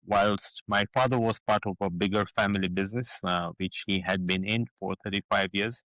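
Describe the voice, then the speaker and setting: Middle-aged man from Kenya, low voice, halting guest, dry close sound. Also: fairly even intonation, fairly bright tone, no room sound, poor audio. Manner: hesitant, many fillers and restarts. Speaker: guest, business founder. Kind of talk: casual interview talk.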